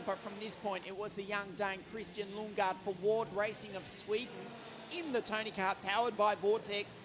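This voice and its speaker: A man's voice: high-pitched, background noise. A man giving live motorsport commentary from trackside, rattling off names and results with enthusiasm. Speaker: race commentator. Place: trackside at a karting circuit in southern Italy.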